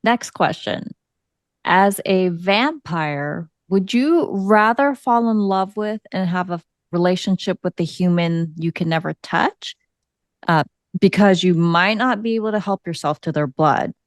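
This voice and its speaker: A woman's voice: lowish voice, expressive, measured pace, no room sound, clean studio sound. A woman chatting casually as host of a group discussion, putting questions to the others. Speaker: podcast host asking panel questions.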